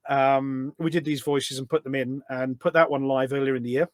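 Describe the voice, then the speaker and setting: A man's voice: mid-range voice, steady tone, normal speed, no room sound. A man with a mid-range voice speaks casually as an interview guest. He is enthusiastic and wanders through his anecdotes in a loose, conversational way. The sound is dry, with very little room in it. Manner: enthusiastic, rambling storyteller. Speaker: podcast guest, game voice pack producer.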